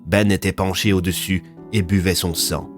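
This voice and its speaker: A man's voice: deep voice, level tone, normal speed, soft background music. A man narrating his audio fiction from a script as its author.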